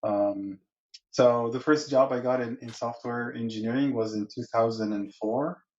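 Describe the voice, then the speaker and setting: A man's voice: mid-range voice, steady tone, normal speed, a little mellow. A man in his forties from Egypt, a guest in a podcast interview, who talks in long rambling answers full of restarts and fillers. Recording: dull lo-fi sound.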